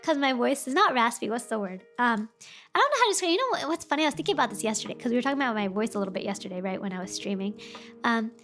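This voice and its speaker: A woman's voice: fairly high pitch, lively intonation, very fast, fairly bright tone. A woman VTuber chatting casually on a stream, with a slightly broken voice and a rambling, chatty way of talking. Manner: rambling, chatty, answers chat. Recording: faint music behind.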